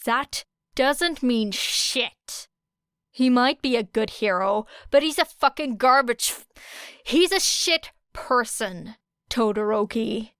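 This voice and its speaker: A woman voicing a fierce, blunt teenage girl with a sharp, growling delivery in an audiobook. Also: a little high, expressive, takes it slowly, clean tone, no room sound, studio quality. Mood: furious.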